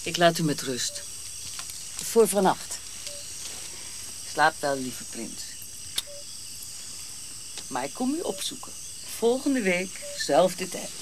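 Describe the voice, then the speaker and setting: An actress playing a sentimental, persistent lady visitor in a radio drama, soft-hearted and coaxing. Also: on the low side, sing-song, slow pace, pauses often. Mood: affectionate. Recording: clear hiss and insect-like night noise.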